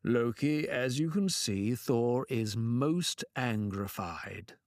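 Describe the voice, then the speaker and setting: A man's voice: mid-range voice, slow pace, a little mellow, no echo, clean studio sound. A man voicing a stern, stately old king of the gods in a storybook tale, speaking in a grand, formal manner.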